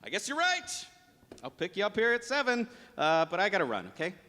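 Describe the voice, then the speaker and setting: An actor playing an earnest, easily exasperated grown son, hesitant and pleading, in a live radio drama. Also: male voice, sits high, huge pitch swings, fairly quick, bright, forceful tone, exaggerated voice. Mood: earnest, doubtful. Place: large hall, on stage. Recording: live stage show in echoey hall.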